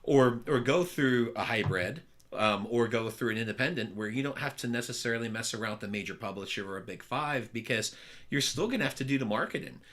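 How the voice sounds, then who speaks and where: Upbeat, bright-voiced podcast host man. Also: mid-range voice, fairly quick. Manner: energetic, upbeat, loud voice. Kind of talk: casual interview chat.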